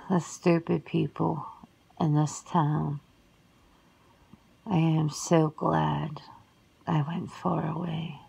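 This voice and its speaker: A woman voicing a centuries-old vampire sister in an ASMR roleplay, cool and worldly, measured and often slipping into whispers. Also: low-pitched, flat delivery, a little mellow, exaggerated voice, poor audio. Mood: cool, weary. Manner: measured, breathy, dropping into whispers.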